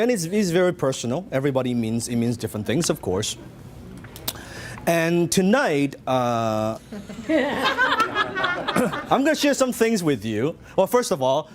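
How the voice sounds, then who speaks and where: A man's voice: high-pitched, unhurried, pauses often. A middle-aged man with a Hong Kong accent, speaking to a live seminar audience and asking them questions as he teaches. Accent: Hong Kong Cantonese. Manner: lively, interactive, asks the audience questions.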